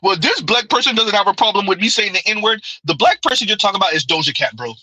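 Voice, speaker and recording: Guy on a live stream reading chat, bright punchy voice, keeps saying right?, laughs a lot, dry close mic. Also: high-pitched, lively intonation, fast, dry recording, low-quality recording. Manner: conversational, repeats "right?", laughs often. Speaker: streamer reading viewer comments. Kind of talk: casual live-stream commentary.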